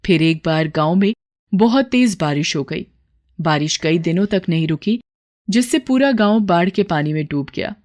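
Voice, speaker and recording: A woman's voice: fairly low pitch, lively intonation, moderate pace, a little mellow. A woman storyteller reading a scripted moral tale as narration.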